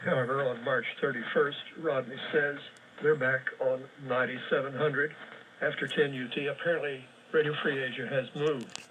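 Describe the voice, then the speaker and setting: A man with a bright, forceful voice reads shortwave radio news in a brisk, matter-of-fact way, slipping in dry sarcasm. His voice sounds distant, carried over a shortwave relay with faint noise beneath it. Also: medium pitch, steady tone. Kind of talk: reading listener reports and frequency listings.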